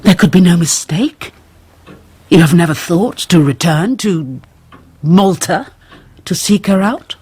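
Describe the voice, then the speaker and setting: Dignified anguished mother, very breathy husky low voice, slow formal radio drama speech. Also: darkish tone. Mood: searching, uneasy. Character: anguished, dignified middle-aged noblewoman, a pleading mother.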